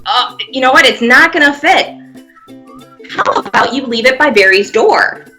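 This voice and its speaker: A woman's voice: sits high, lively intonation, moderate pace, pushed and bright, soft background music. A woman guiding young children through pretend play, giving simple encouraging step-by-step prompts with playful sound effects. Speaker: children's museum presenter leading a pantomime activity.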